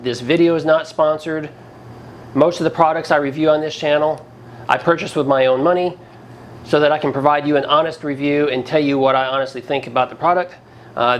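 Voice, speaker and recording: A man hosting a casual product review, talking in a plain-spoken, folksy, conversational way. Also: on the high side. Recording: clearly noisy background ambience.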